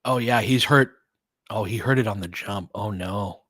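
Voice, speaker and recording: A man's voice: on the low side, normal speed, fairly bright tone, no echo, studio quality. A man giving casual live basketball play-by-play and fan analysis, talking conversationally and thinking aloud in fragments.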